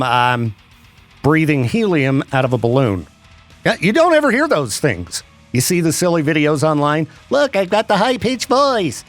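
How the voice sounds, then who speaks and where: A man hosting a radio show, chatting and joking in a lively, animated way. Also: fairly high pitch. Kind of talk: casual radio banter.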